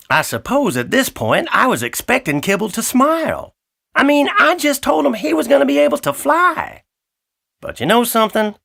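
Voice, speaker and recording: A man voicing a friendly, wry blackbird who tells a children's story in a folksy, chatty, expressive way. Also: sits high, creaky, full character voice. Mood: wry, confiding. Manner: folksy, expressive, addresses listener directly. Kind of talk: animated children's audiobook storytelling.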